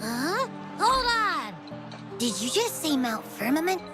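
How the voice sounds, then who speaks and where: A woman's voice: high voice, very animated, takes it slowly, exaggerated voice. A young woman voicing a cheerful, food-loving companion in a video game cutscene, bubbly and a little boastful. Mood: curious. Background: faint game music.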